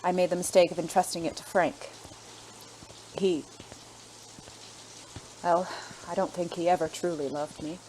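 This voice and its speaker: A woman playing a refined, secretive former spy in an audio drama, speaking formally and haltingly, with endearments. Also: fairly low pitch, steady tone, mellow, slight background noise, lightly acted, low-quality recording. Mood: composed, regretful.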